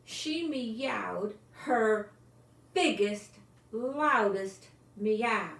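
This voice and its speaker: An older woman reading a children's picture book aloud, expressively, with gentle exclamations and asides to young listeners. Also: a little high, animated, extremely slow. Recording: echoey room with some background noise.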